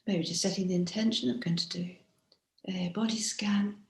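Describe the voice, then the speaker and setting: A woman guiding a body scan meditation as a teacher, speaking gently and softly, unhurried, with frequent pauses and breathy phrase endings. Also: mid-range voice, steady tone. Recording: roomy, echoing room sound.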